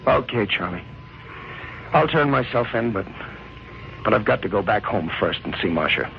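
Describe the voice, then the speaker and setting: An actor playing a desperate married man on the run in an old-time radio crime drama, urgent and halting, with a gravelly voice. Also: on the low side, lively intonation. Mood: anguished, resigned. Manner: urgent, pressing, halting when cornered. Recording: muffled, hissy old radio recording.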